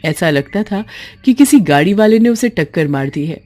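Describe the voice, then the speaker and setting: A woman narrating a scripted moral story in an expressive, emotive, dramatic way. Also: on the low side, moderate pace.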